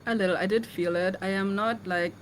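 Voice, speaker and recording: A woman's voice: medium pitch, steady tone, brisk, slight background noise, low-quality recording. A woman guest on a casual stream interview, friendly and giggly. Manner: friendly, giggly, soft-spoken. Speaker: guest singer.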